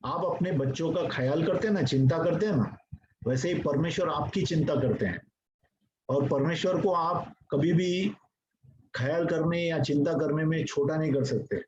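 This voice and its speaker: Male pastor, earnest sermon, slightly high voice, dull lo-fi recording. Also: moderate pace, a little mellow. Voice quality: mixes English phrases into speech. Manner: earnest, repetitive, addresses congregation directly. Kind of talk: sermon teaching. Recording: dull lo-fi recording with faint noise.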